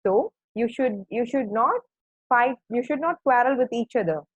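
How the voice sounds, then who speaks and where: Lady playing a worried mama sheep, earnest, a bit high n husky, storybook. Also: lively intonation, moderate pace, no echo, dull audio. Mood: concerned. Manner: earnest, admonishing. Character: caring, cautious mother sheep instructing her lambs.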